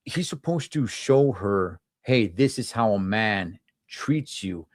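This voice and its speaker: A man's voice: medium pitch, no echo, clean studio sound. A man giving emphatic, opinionated views in a casual panel chat, often repeating words as he goes.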